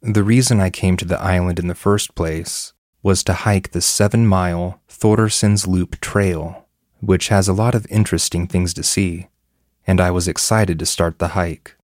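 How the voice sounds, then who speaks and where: A man's voice: low-pitched, fairly even intonation, moderate pace, darkish tone, dry recording, studio quality. A man reading a first-person horror story as scripted narration.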